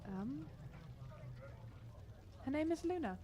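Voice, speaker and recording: High-pitched, animated, giggly woman. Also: slow. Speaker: player in a tabletop game. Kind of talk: casual improvised game chat.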